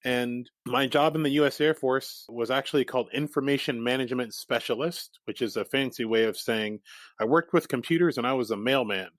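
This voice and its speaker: A man in his forties, a startup founder guest, telling his life story in an interview with a reflective, easygoing manner and quick laughs. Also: mid-range voice, no echo. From raised in Germany, Japan and Iowa. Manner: reflective, laughs easily, animated quoted asides.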